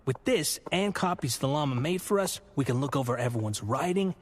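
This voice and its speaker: An actor playing a confident, patient police commissioner in a radio drama, explaining things in a dryly wry way. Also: male voice, medium pitch, lively intonation, fairly quick, natural voice.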